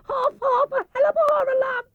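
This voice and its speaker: Tiny high-pitched squealing timid piglet. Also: male voice, level tone, brisk, no room sound, narrow, tinny audio. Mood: panicked. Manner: stammering, jumbles words when frightened. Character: small timid anxious piglet, squealing in panic.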